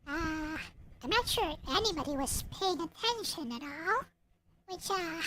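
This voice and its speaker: A woman with a small, childlike voice chatting casually and haltingly on a livestream about her own writing. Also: very high voice, animated, a little hiss or hum. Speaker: streamer and visual novel writer. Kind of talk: casual livestream chat showing own work. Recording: loud music behind the voice. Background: loud music and game sound effects.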